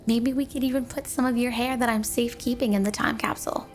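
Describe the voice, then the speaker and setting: Woman acting a high-voiced, doting yandere snow woman. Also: fairly even intonation, fast talker, soft background music, natural voice. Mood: playful, gushing. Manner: gushing, cooing, doting, dreamy. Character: obsessively devoted yandere snow woman wife, sweet but possessive. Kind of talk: flirty roleplay ASMR monologue.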